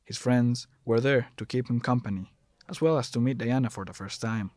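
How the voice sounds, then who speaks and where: A man's voice: mid-range voice, moderate pace, darkish tone, no echo. A man reading scripted third-person narration for a dramatic audio story.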